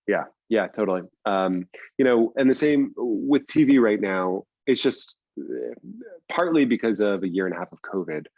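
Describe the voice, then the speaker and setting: A man's voice: lowish voice, lively intonation, moderate pace, a little mellow, no room sound, lo-fi sound. A man speaking casually as an interview guest, explaining things in loose runs with repeats for emphasis. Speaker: writer and producer, interview guest.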